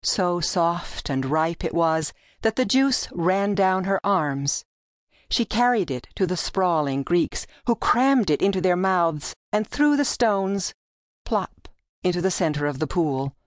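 A woman reads a literary tale as an audiobook narrator. Her voice sits a little low and she takes her time, phrasing each line deliberately. The studio sound is dry and close. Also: animated, dry recording. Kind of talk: scripted literary storytelling narration.

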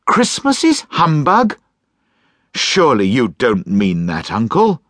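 A man's voice: medium pitch, huge pitch swings, slow, no room sound, studio quality, pitched above the performer's own voice, full character voice. A man doing a cheerful, kindly young nephew. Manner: warm, pleading. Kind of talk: storybook reading.

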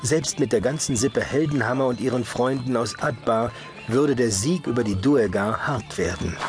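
An actor playing a grave, determined dark elf hero who narrates a German fantasy audio drama in a dramatic scripted style. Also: male voice, medium pitch, moderate pace, soft background music, close to an everyday voice. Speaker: narrator and lead character.

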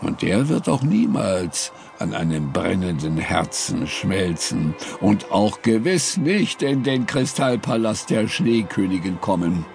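A man narrating a fairy tale in a calm, storytelling audiobook reading. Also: sits low. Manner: slow, lively storytelling with gravelly tone. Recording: studio read with clear music bed. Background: music.

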